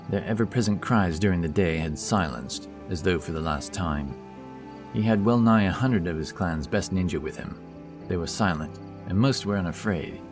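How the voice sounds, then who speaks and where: A man with a deep, low voice reads a scripted fantasy tale as its narrator. Soft music plays underneath him throughout. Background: background music.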